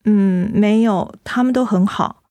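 A woman's voice: medium pitch, unhurried, no room sound, natural voice. An actress playing a young, ailing office woman who hides her troubles, speaking hesitant, apologetic Taiwanese Mandarin in a radio drama. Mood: weary, evasive. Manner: hesitant, apologetic, softly evasive.

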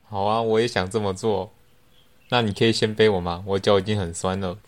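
A man's voice: on the low side, level tone, a little fast, lightly acted. A teenage boy playing a sly young man who feigns innocence, in an amateur radio drama. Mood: innocent.

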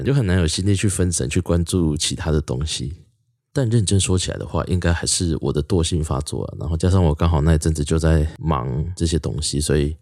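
A young man with a Taiwanese accent and a very low voice hosts a solo podcast. He talks informally, wandering along in a chatty way like a student catching listeners up. The sound is dry, with hardly any room to it. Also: dark tone, dry recording. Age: early 20s. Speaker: podcast host, university student. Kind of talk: casual solo podcast chat.

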